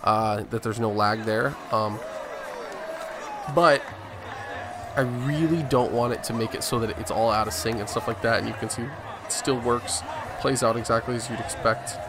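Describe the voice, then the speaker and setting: A man's voice: medium pitch, fairly even intonation, moderate pace, a crowd in the background, noisy background. A man giving casual, boastful gameplay commentary, narrating each move as a gaming video host.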